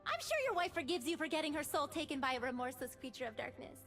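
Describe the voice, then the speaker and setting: An actress playing an optimistic, playfully sarcastic young mage of light in a video game. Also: female voice, sits high, fast talker, faint music behind. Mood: cheeky, teasing. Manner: bright-eyed earnest, playfully sarcastic. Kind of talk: video game character voice lines.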